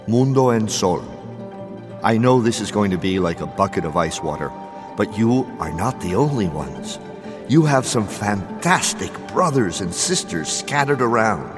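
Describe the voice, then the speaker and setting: A man's voice: on the low side, lively intonation, a little slow. An actor playing a grandiose, sentimental dead father in a radio soap opera, speaking theatrically and savoring his words. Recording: long reverb with loud music behind.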